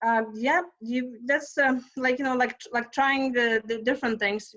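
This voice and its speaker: A woman's voice: sits high, fairly even intonation, a little fast, a bit bright, highs cut off. A woman from Lithuania with a Lithuanian accent, a stress management coach chatting as a podcast guest, talking freely with many fillers, restarts and small laughs. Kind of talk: casual conversational interview.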